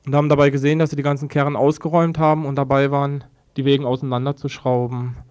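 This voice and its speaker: A man giving a spontaneous first-hand account as an interviewee, haltingly and with repeated words. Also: medium pitch, level tone, a little mellow, smooth. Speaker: eyewitness interviewee, affected resident.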